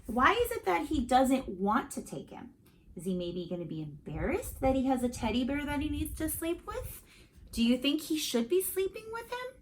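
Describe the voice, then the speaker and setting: A woman reading a children's story aloud expressively, pausing to put questions directly to young listeners. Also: high voice, big pitch swings, slight background noise.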